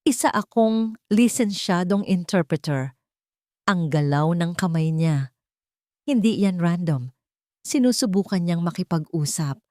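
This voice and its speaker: Woman acting a desperate, pleading heroine, highly animated. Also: unhurried, pauses often, no echo, studio quality. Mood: urgent, determined. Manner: pleading, insistent. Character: desperate, brave young woman interpreter pleading for justice.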